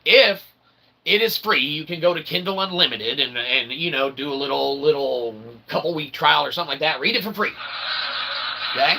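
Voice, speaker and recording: A man with a very bright, sharp, slightly high voice hosts a rambling explainer. He talks in wordy, pseudo-academic phrases and keeps stopping to check that listeners follow. There is faint noise behind him. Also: moderate pace, gravelly, low-quality recording. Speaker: self-published author and channel host. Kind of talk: rambling explanatory monologue to an audience.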